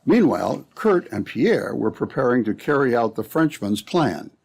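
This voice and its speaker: A man's voice: mid-range voice, big pitch swings, normal speed, vocal fry, a little mellow, slightly rough. A man narrating an old-time radio adventure from a script, as the storyteller between scenes.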